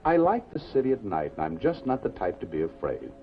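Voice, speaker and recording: Fearless wry newspaperman, American accent, animated intonation, 1950s TV drama, muffled soundtrack. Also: on the low side, fairly quick. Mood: earnest, reassuring. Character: wry, unafraid night-beat city newspaper reporter. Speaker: actor in lead role. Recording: dull 1950s TV soundtrack, music behind.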